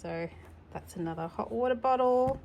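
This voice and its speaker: A woman's voice: on the high side, expressive, normal speed, lo-fi sound, slight background noise. A middle-aged woman hosting a children's storytime, chatting casually and explaining things simply as if to a child. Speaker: storytime host reading children's books. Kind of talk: casual read-aloud with chatty asides.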